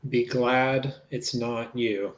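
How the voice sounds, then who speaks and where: A man playing a plain-spoken, gently probing and reassuring investigator in a dramatic reading of a police interview. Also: medium pitch, steady tone, very slow.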